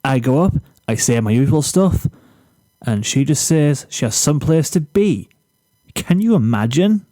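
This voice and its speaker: A man's voice: medium pitch, lively intonation, measured pace, warm tone, dry recording, close to an everyday voice. A man voicing a cocky, oblivious teenage boy, whiny and smug, in a story reading. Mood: indignant whining. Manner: smug, complaining.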